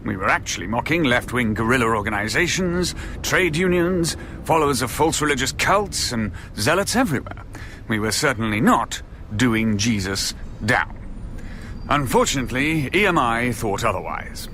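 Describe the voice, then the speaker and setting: Comedian guy reminiscing in radio drama, lively crisp bright voice, bit of noise behind. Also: medium pitch, normal speed. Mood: wry, reminiscing. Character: male comedy troupe member recalling film-making.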